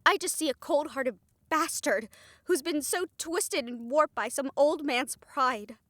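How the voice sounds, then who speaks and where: A woman's voice: high-pitched, measured pace, a bit bright, no room sound. A woman playing a grieving, reproachful young vampire sister, emotional and pleading, in an audio roleplay drama. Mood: angry, hurt.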